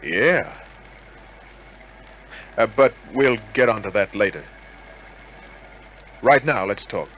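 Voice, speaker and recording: An actor playing a wisecracking, cool private detective in old-time radio crime drama, with a wry, clipped delivery. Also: male voice, fairly low pitch, animated, takes it slowly, pauses often, fairly bright tone, a little hiss or hum, poor audio. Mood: coolly probing. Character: wisecracking hard-boiled male private detective, cool under pressure.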